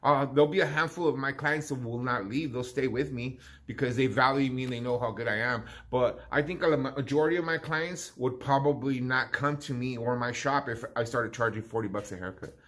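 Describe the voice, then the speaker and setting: Chatty barbershop owner filming himself. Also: male voice, mid-range voice, brisk, hardly any pauses, fairly bright tone. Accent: Southern California. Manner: rambling, repeats words, addresses viewers as guys. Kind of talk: casual vlog talk to camera. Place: small barbershop indoors. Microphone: handheld phone at arm's length.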